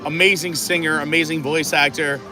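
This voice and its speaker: Man, New York accent, fairly high voice, chatty stream host, loud park music behind. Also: fairly even intonation, moderate pace, low-quality recording. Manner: chatty, laughs often, greets viewers. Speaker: livestream host. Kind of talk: casual chat on a live walking stream. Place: outdoors at a theme park.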